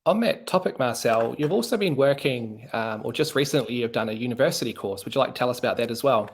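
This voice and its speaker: A man's voice: medium pitch, animated, quick pace, husky. A man hosting a podcast discussion, talking casually with many fillers and hedges. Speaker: podcast host.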